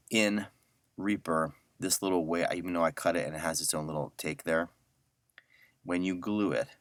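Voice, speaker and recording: A middle-aged man giving a casual audio software tutorial, talking informally and thinking aloud as he works. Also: very deep voice, dry recording. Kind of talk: casual screen-share tutorial.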